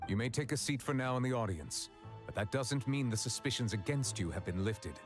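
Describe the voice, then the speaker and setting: A man playing a stern judge in a fantasy video game, speaking in a formal, measured, authoritative way. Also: mid-range voice, animated, music in the background, lightly acted. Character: stern, formal male judge presiding over a fantasy courtroom.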